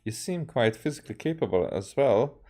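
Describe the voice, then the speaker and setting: A man, a game streamer reading dialogue aloud haltingly and correcting himself as he goes. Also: mid-range voice, expressive, mellow. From Hungary.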